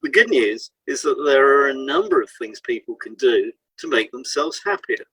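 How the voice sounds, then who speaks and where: Male co-host for scripted educational audio. Animated intonation, slightly rough-edged husky tone. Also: medium pitch, normal speed, no room sound, low-quality recording. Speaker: podcast co-host, hypnotherapist.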